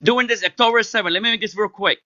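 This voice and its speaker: A man with a loud voice argues hard in a heated online debate, cutting in and repeating himself for emphasis. His voice sits high and sounds very bright and forceful. The sound is dry and somewhat dull, like a lo-fi call. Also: very fast, no room sound. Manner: loud, interrupting, emphatic, non-native grammar. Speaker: debate caller. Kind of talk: heated live-stream debate.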